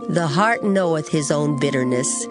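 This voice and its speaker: Lower-pitched woman solemnly reading scripture. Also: expressive, a little slow. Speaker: scripture reader. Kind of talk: dramatized Bible reading. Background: music.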